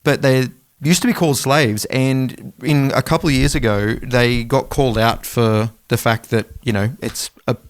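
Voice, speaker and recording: A man's voice: mid-range voice, fairly even intonation, moderate pace, few pauses, no room sound. A man with an Australian accent chatting casually as a music podcast co-host, in a colloquial, sweary way. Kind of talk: casual chat.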